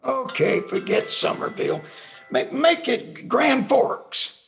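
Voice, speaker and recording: Cranky old codger, very high voice, swooping delivery, folksy, rambling, radio comedy. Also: male voice, sing-song, slow pace, dull audio, music in the background, full character voice. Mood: flustered, contrary. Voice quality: creaky. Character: cranky old-timer man telling rambling corny jokes.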